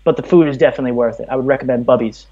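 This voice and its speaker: A man's voice: medium pitch, rapid-fire, mellow, dull audio. A man from Brooklyn, telling stories conversationally as a podcast guest. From Brooklyn, New York, USA. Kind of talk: conversational interview, storytelling.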